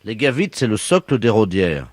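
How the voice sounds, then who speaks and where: A man playing a matter-of-fact town road-works official in a deadpan prank call, colloquial, insistent and faintly exasperated. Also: fairly low pitch, normal speed, dry recording, studio quality. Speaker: prank caller.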